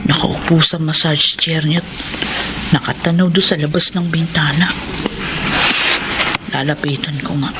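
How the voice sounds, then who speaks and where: A woman playing a cheeky, outspoken housemaid and working mother in a Tagalog radio drama. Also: low-pitched. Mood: quiet, concerned. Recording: noisy radio drama scene with background ambience.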